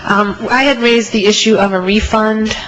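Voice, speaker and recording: A woman's voice: mid-range voice, fairly even intonation, moderate pace, fairly bright tone, poor audio. An American woman, a legislator, questioning officials at a committee hearing.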